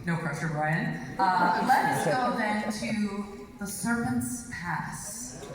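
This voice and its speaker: A woman's voice: medium pitch, lively intonation, slow pace. A woman hosting and narrating a script live on stage in a large hall. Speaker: panel host and narrator. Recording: distant, echoey hall, loud background noise.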